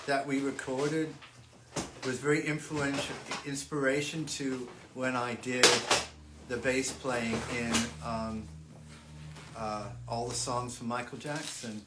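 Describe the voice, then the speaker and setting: A man's voice: medium pitch, steady tone. An older man, a musician hosting a fan livestream, chatting casually and often stopping to restart his sentences. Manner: halting, frequent restarts and repeated words. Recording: echoey room, faint ambient noise.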